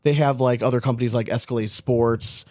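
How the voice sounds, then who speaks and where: An American man talks as a podcast co-host in an informal, meandering way. His voice sits at an average male pitch. The sound is dry, with very little room around it. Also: fairly even intonation, fairly quick, a little mellow, dry recording. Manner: rambling, informal, frequent "like". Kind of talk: casual chat.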